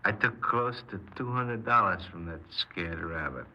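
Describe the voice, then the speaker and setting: Cold, boastful radio-drama gunman: lowish, intensely forceful, menacing. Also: animated, unhurried, lo-fi sound, exaggerated voice. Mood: smug, recounting. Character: cold, cocky criminal confessing his armed robberies. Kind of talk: 1950s radio crime drama acting. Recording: noisy 1950s radio recording.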